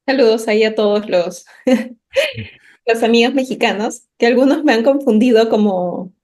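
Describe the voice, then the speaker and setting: A woman's voice: on the high side, big pitch swings, a little slow, darkish tone. A woman with a Peruvian accent chatting casually as a co-host, choosing her words in a thoughtful, hesitant way. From Peru. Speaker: podcast co-host. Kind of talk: casual conversation.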